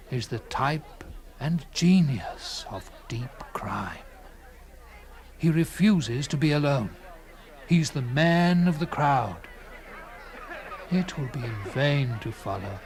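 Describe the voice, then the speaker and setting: A man reading a classic literary story in a dramatic first-person style, with theatrical, deliberate phrasing and swelling emphasis. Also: mid-range voice, animated, some chatter behind. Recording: clearly noisy with background ambience.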